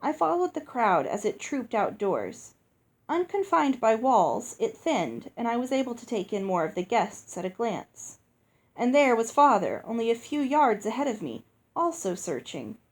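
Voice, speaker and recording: A woman narrates a children's fantasy story in a fairly high voice. Her reading is expressive and animated, with wide rises and falls in pitch. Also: moderate pace. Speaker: audiobook reader.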